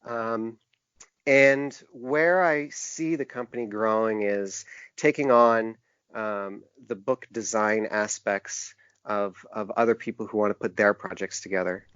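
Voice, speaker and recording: Halting, earnest man, dry dull sound. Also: fairly low pitch, fairly even intonation, a bit bright, clean tone, dry recording. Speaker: interview guest; typographer and book designer. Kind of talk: conversational interview answers. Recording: dull, narrow-band lo-fi sound.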